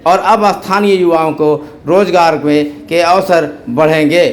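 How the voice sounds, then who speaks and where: Male news reporter, scripted narration, slightly high-pitched delivery. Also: fairly even intonation, unhurried.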